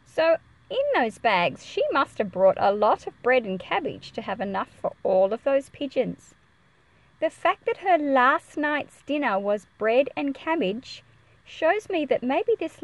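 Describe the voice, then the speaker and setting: A woman's voice: fairly high pitch, a little slow, no room sound, poor audio. A woman reading a picture book to children, expressively explaining it and asking them questions. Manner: expressive, explanatory, addressing young listeners with questions. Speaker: read-aloud presenter teaching children.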